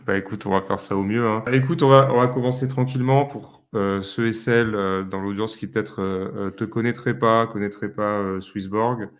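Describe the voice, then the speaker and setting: Low-voiced male podcast host. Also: normal speed. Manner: relaxed and friendly, with frequent hesitations. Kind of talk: casual conversational interview.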